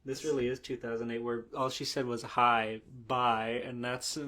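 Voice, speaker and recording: A man's voice: medium pitch, little pitch movement, normal speed, bright, forceful tone. A man co-hosting a comedy podcast, chatting casually with sarcastic riffs and plenty of swearing. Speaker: podcast co-host.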